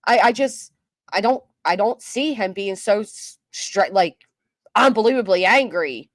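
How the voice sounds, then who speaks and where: A woman's voice: mid-range voice, expressive, a little slow, no room sound, studio quality. A woman giving casual commentary on a live stream, reading chat comments aloud with a skeptical tone.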